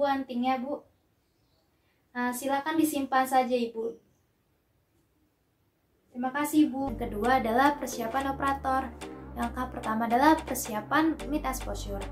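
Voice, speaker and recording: A young woman narrates a scripted instructional demonstration, explaining each step politely and carefully. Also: fairly high pitch. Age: young adult. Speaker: dental student demonstrating a procedure. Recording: echoey room with music behind.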